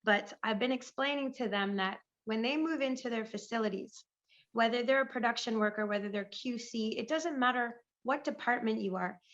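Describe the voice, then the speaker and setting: Squeaky-voiced woman on a muffled call. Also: normal speed, fairly bright tone. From Canada. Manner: enthusiastic, chatty, improvising. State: recovering from lost voice, squeaky. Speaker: panelist, pest management business owner. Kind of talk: conference panel talk.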